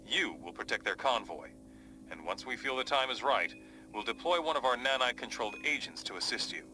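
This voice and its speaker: A man playing a confident superhero leader in a game cutscene, giving measured, authoritative briefings. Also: medium pitch, expressive, bright, forceful tone, soft background music, poor audio. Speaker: mission briefer.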